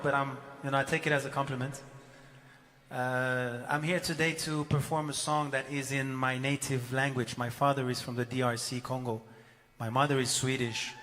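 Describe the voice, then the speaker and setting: A man's voice: mid-range voice, a bit bright, long reverb tail, a little hiss or hum. Friendly male singer chatting from stage. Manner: friendly, a little halting, many fillers. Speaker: singer addressing a live audience. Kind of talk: casual stage talk.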